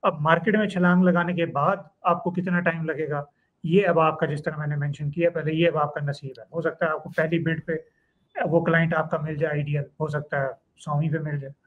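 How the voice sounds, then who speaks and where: A man, talking as a guest in a conversational interview, animated and telling stories. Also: on the high side, fairly quick, narrow, tinny audio. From Pakistan. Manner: animated storyteller, acts out clients and students in quotes. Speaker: guest, freelance copywriting trainer.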